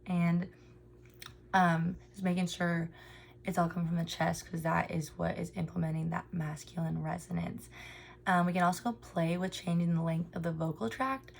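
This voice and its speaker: A young woman walks through a speech therapy lesson, earnest and informal, leaning on "um" as she goes. Her voice sits low and has a gravelly fry to it. There is a faint hiss of background noise. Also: little pitch movement, normal speed. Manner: frequent ums, earnest explanatory delivery. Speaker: speech therapy student presenter. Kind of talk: informal instructional presentation.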